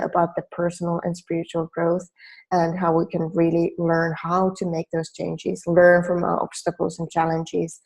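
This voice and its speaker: A woman in her forties speaks English with a Finnish accent, leading a self-help training. Her voice is low, mellow and gravelly. She is earnest and keeps restarting her sentences with small fillers. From Finland. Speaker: life coach.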